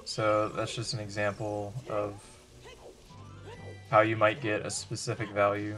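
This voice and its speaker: A man's voice: lowish voice, completely flat delivery, unhurried, fairly bright tone, faint music behind. A man narrating a tutorial over gameplay in a matter-of-fact, step-by-step way. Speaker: video presenter demonstrating a game glitch.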